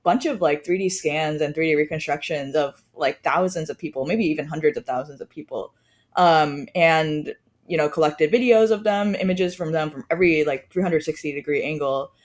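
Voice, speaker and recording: A woman's voice: sits low, moderate pace. A woman, a startup co-founder, explaining casually on a podcast with many fillers and trailing phrases.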